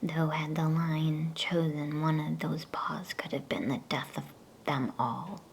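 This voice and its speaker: A woman reads a storybook in an ASMR whisper, soothing and hushed. Her voice sits low with a creaky edge, and she moves slowly from phrase to phrase. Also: fairly even intonation, slight background noise. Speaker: bedtime story reader.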